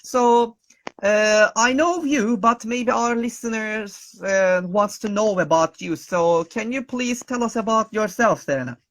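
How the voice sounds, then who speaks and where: A man's voice: high voice, unhurried, fairly bright tone, poor audio. A man hosting an online teacher talk show over a video call, friendly and hesitant with many fillers and nonnative phrasing. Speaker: host.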